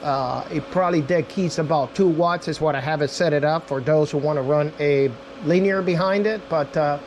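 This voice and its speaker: Casual higher-pitched man over clear background noise. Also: normal speed, a little mellow. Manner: informal, addresses viewers as guys. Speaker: radio reviewer and demonstrator. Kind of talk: casual unscripted product demo.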